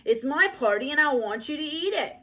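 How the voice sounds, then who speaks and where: A woman voicing a stubborn, bossy four-year-old girl in a storybook, with loud, drawn-out defiant delivery. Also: high voice, expressive, pitched above the performer's own voice. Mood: angry shouting.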